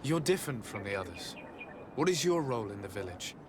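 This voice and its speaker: An actor playing a polite, measured investigating envoy in a fantasy video game, formal and composed. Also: male voice, on the low side, big pitch swings, background noise. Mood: polite, inquiring.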